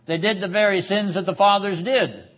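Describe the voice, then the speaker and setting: A man lecturing on the Bible in a classroom, explaining didactically with rhetorical questions and frequent throat clearing. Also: high-pitched, steady tone, moderate pace, a bit bright. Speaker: seminary lecturer. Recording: slightly dull classroom recording.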